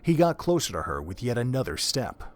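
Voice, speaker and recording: A man giving scripted story narration for an audio drama in a measured, even way. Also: mid-range voice.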